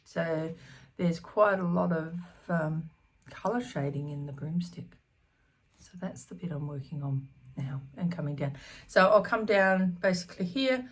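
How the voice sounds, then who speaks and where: A woman in her fifties chatting casually to camera about her stitching, scattered and full of ums, her voice slightly croaky. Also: deep voice, lively intonation, measured pace. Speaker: cross stitch vlogger. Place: small room at home.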